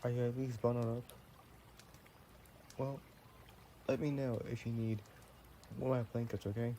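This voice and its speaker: A man playing an affectionate, playful vampire boyfriend in an intimate roleplay audio, chatting in a gentle, teasing way. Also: medium pitch, level tone, normal speed, a little hiss or hum, natural voice. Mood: tender, caring.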